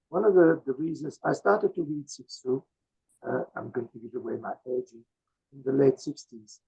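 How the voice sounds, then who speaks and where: Croaky older man on a dull call. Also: medium pitch, dark tone. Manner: thoughtful, hesitant with many fillers. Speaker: guest speaker. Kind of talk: answering audience questions. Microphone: video call. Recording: dull lo-fi video call audio.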